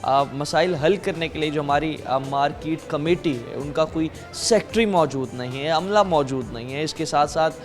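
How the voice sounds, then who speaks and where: A man's voice: fairly high pitch, music in the background. Earnest male news anchor. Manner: earnest, drawn-out delivery with many filler pauses. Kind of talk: formal news reporting and studio interview.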